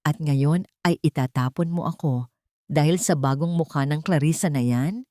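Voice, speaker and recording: A woman's voice: low-pitched, moderate pace, mellow, no room sound, studio quality, close to an everyday voice. A woman voicing a jealous, betrayed, vengeful mistress in an emotional, accusing way for an audio story. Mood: hurt fury.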